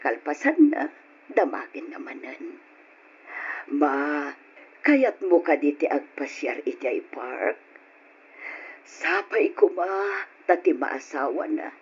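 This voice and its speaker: Frail old mom in a radio drama, really raspy, swings up and down, muffled sound. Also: female voice, sits low, very animated, slow, pauses often, a little mellow, full character voice. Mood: doting, wistful. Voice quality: husky, raspy. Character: frail, confused elderly mother, stubborn yet doting. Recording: dull lo-fi radio drama recording.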